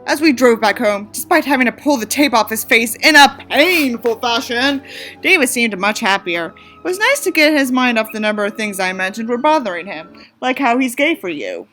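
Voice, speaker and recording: A woman's voice: a little high, animated, normal speed, fairly bright tone, soft background music. A woman from the United States doing a mocking dramatic reading, theatrical, with sarcastic asides. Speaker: reader and commentator.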